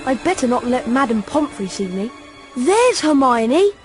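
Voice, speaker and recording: A woman's voice: sits high, very animated, a little slow. A performer, a woman, playing a brave, earnest young schoolboy wizard in a video game. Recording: music under the voice.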